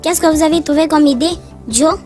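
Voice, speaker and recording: A woman acts out the young leader of a cartoon band of kids. The voice sits high and gives directions and questions in a bossy way. Loud music plays behind it. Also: fairly quick, lightly acted. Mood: commanding. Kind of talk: animated cartoon dialogue in French.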